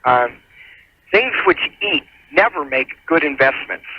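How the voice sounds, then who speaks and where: A man's voice: medium pitch, sing-song, measured pace, husky, dry recording, dull audio. A man giving methodical, formal explanations as a legal adviser on a radio call-in show, over a telephone. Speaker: attorney calling in as legal adviser.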